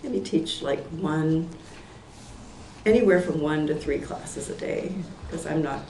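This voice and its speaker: Hoarse gravelly woman in her sixties. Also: low voice, takes it slowly, darkish tone. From Portland, Oregon, US. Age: 60s. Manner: rambling, many fillers and restarts, laughs lightly. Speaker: applicant at a public hearing. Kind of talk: informal testimony at a town meeting. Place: town meeting room. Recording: echoey hall, far from the mic, faint noise.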